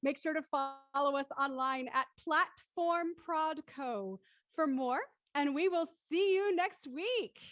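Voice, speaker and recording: High-voiced woman hosting, dry sound. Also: slow, a bit bright, no room sound. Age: adult. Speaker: host. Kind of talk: hosting an online panel discussion. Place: indoors on a group video call.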